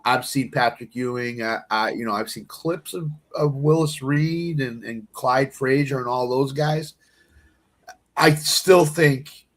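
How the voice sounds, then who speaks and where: A man in his fifties debating sports casually as a panelist on a talk show. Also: mid-range voice. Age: 50s.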